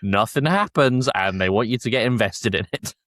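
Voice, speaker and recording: A man's voice: medium pitch, expressive, moderate pace, fairly bright tone, dry recording, studio quality. A man hosting a podcast, chatting casually and recapping episodes in a jokey, informal way. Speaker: podcast host.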